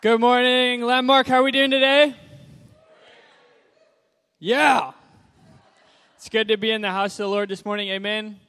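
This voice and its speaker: A young man from Zimbabwe, a missionary guest speaker, giving an upbeat personal testimony in a church in a brisk, preacherly way. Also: very high-pitched, little pitch movement, bright, forceful tone, clear, resonant tone, no echo.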